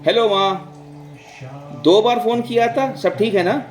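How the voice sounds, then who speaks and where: A man voicing a busy young office-working son in a told story, brisk and casual. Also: high voice, echoey room, voice pushed up. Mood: brisk, questioning.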